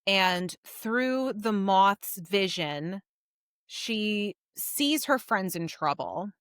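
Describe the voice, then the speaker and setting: A woman retells a story as a podcast co-host. Her voice is bright and forward, recorded dry. She is informal and chatty, leaning on key words as she goes. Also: mid-range voice, expressive, extremely slow, clear, resonant tone, dry recording. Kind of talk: casual book discussion recapping a story.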